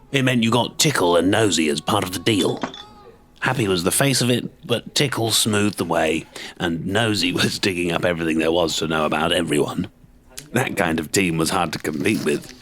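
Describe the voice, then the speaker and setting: A man playing a low-voiced gossipy storybook old hand. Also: fairly bright tone, slight background noise. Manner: chatty, hesitant, gossipy, chuckling. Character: avuncular male storybook character reminiscing about old politics. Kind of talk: mockumentary interview in audio drama.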